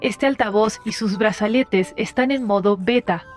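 A woman voicing a polite, impersonal automated device assistant, giving scripted instructions in a formal, measured way for an audio drama. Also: mid-range voice, soft background music, natural voice. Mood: neutral, courteous.

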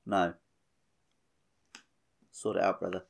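A British man co-hosting a gaming podcast, chatting casually and riffing on jokes in an animated way. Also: low voice, slow pace, vocal fry. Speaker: podcast co-host.

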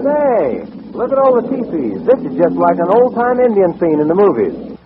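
An actor playing an easygoing, wry, folksy cowboy in an old western radio comedy. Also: male voice, sits high, huge pitch swings, normal speed. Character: easygoing, wisecracking singing cowboy. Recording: noisy, tinny old radio recording.

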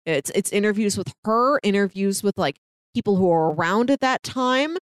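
A woman's voice: medium pitch, normal speed, clean tone, no echo, studio quality. A woman chatting casually as a podcast co-host, conversational and peppering her sentences with "like". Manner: chatty, conversational, heavy use of "like". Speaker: podcast co-host giving recommendations. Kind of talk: casual film discussion chat.

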